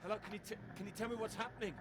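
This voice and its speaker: A man's voice: sits high, fairly even intonation, very fast, background noise, natural voice. A man playing a stranded man with an anxious, urgent manner. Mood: worried. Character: panicked stranded young man.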